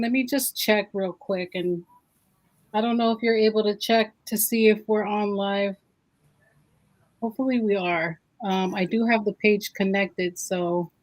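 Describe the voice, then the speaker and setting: A woman's voice: mid-range voice, a little mellow, rough recording. A woman hosting a live-stream interview, friendly and chatty, with many fillers. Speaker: host.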